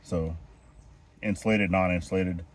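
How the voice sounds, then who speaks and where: Brief: a low-voiced male homestead vlogger, congested from a chest cold, walking through a repair as he works outdoors. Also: measured pace. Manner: explains step by step while working, relaxed. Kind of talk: hands-on DIY how-to. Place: outdoors at a well house, kneeling on rocks.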